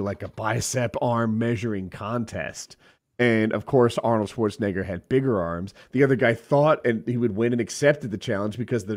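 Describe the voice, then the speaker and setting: A man co-hosting a podcast who rambles through a story, correcting himself and laughing at his own mix-ups. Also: lowish voice. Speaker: podcast co-host. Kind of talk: casual chat.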